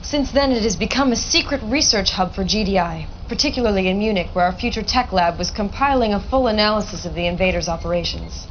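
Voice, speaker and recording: An actress playing an earnest, businesslike military intelligence officer giving a briefing in a video game. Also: female voice, mid-range voice, fast talker, hardly any pauses, natural voice. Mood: tense, businesslike. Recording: dull lo-fi audio, faint noise.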